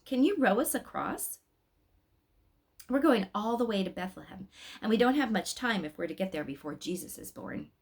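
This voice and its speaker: An eager little angel boy from a storybook, played by a woman reading aloud. The voice lifts and swoops in lively fashion, chatty and a touch self-important. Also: medium pitch, moderate pace, slightly rough.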